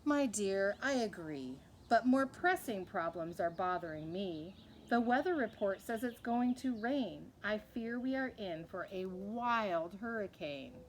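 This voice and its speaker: A woman's voice: medium pitch, expressive, unhurried, a little hiss or hum, close to an everyday voice. Beleaguered small-town mayor, voiced by a woman. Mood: weary, worried.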